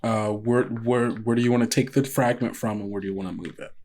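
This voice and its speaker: A man narrating an improvised tabletop game scene as game master, talking in a halting, conversational way as he pieces images together. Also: medium pitch, fairly even intonation, a little mellow. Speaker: game master of an actual-play podcast. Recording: guitar music under the voice. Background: plucked guitar music.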